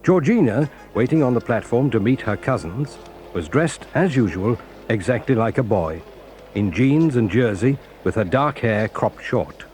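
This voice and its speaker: A man narrating a children's audio drama in a measured, expressive storytelling way. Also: mid-range voice, soft background music.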